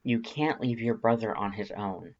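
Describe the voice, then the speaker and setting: A man's voice: lowish voice, moderate pace, lo-fi sound, slight background noise, natural voice. Man reading a worried, firm, pleading human.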